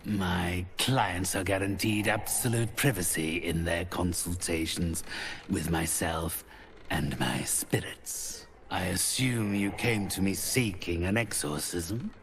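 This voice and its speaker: An actor playing a haughty, cold, mercenary necromancer in a fantasy game, speaking slowly with theatrical condescension. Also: male voice, deep voice, fairly bright tone, a little hiss or hum, exaggerated voice. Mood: cold, businesslike. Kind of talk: cinematic fantasy game dialogue.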